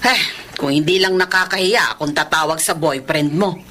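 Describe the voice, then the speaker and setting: A woman's voice: low voice, normal speed, sharp tone. An actress playing a prying, concerned middle-aged mother in a Filipino radio drama, pointed and nagging. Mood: skeptical, probing.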